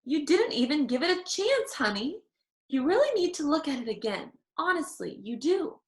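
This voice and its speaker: A woman voicing a kindly, patient grandfather in a children's storybook, coaxing and gently reassuring. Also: high-pitched, sing-song, moderate pace, echoey room, pitched above the performer's own voice. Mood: earnest, reassuring.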